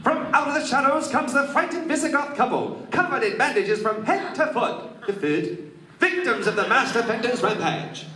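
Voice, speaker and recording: High, dramatic radio serial narrator. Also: male voice, animated, normal speed, crowd noise. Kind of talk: scripted narration, 1940s radio parody. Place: convention hall stage, recorded from the audience. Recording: noisy echoey hall from far off.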